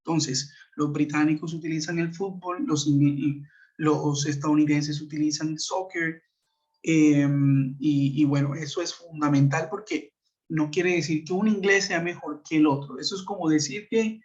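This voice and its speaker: A man with a Colombian Spanish accent explains a lesson patiently over a web conference, with a somewhat high voice. He fills his speech with hesitations and checking questions to his students. The sound is dull and lo-fi, as from a computer mic on a call. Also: fairly even intonation, unhurried. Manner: explanatory, many fillers and tag questions. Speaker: English teacher. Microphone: computer mic on a video call. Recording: muffled lo-fi web call audio.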